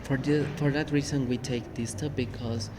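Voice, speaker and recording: A young man from Mexico speaking English with a Mexican Spanish accent, telling a story in a casual podcast chat with many "uh" pauses. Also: medium pitch, steady tone, background noise. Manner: halting, many "uh" fillers. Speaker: podcast co-host.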